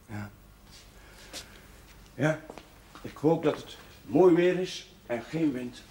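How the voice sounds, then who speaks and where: An actor playing a good-humoured, stubborn village chaplain with a wry manner, in naturalistic 1970s television drama style. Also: male voice, fairly high pitch, animated, slow pace, dark tone, slight background noise. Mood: wryly stubborn. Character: genial, stubborn village chaplain recovering from illness.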